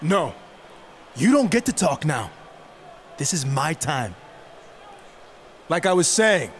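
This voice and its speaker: A man's voice: very high-pitched, a little hiss or hum, exaggerated voice. A man playing a resentful, sneering villain wrestler in a video game, delivering a taunting promo about his lost title.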